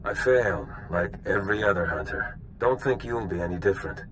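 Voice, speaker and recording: A man's voice: low voice, a little fast, fairly bright tone, slight background noise, poor audio. An actor playing a seasoned bounty hunter in a video game, wry and knowing as he lays out old history.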